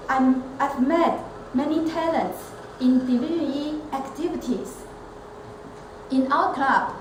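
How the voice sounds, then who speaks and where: High-voiced, slow-speaking woman. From Taiwan. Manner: measured, earnest, with occasional restarts. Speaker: elementary school teacher and club member. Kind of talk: prepared club speech. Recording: echoey room with steady background noise.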